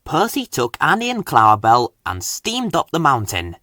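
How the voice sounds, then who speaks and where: A man reading a children's storybook aloud as a scripted narrator, with an expressive, animated delivery. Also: medium pitch, moderate pace, no echo, studio quality. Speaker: story reader.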